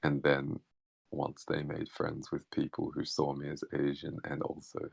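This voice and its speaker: Very deep gravelly man, halting speech. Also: monotone, no echo. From Australia. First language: Hakka Chinese. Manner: halting, many fillers and restarts. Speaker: interview guest. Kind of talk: casual reflective conversation. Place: online voice chat.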